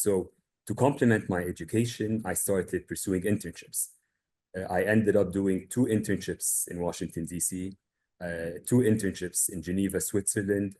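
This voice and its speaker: A low-pitched man in his thirties from Egypt speaks over a video call. He recounts his background in an even, measured way and often pauses on filler sounds. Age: 30s. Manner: measured storytelling with frequent uh fillers. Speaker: guest, family business owner. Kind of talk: webinar presentation.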